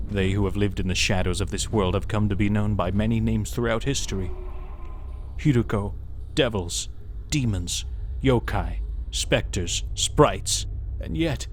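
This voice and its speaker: A man voicing a doomed father turned monstrous, speaking in a solemn, measured way in an amateur manga dub. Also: lowish voice, a little hiss or hum, lightly acted.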